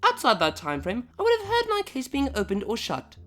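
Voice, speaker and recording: A prim, cultured female pony, played by a woman, speaks high and with sweeping intonation. She is formal and exact, with dry menace under the politeness, as in a comic audio drama over faint music. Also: huge pitch swings, fairly quick. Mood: icy composure. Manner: formal, precise, drily menacing. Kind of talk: scripted comedy fan audio drama.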